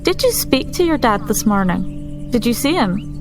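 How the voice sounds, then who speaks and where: A woman playing a tabletop game, improvising in character in a deliberate, questioning manner. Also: on the high side, sing-song, music in the background. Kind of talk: improvised in-character role-play.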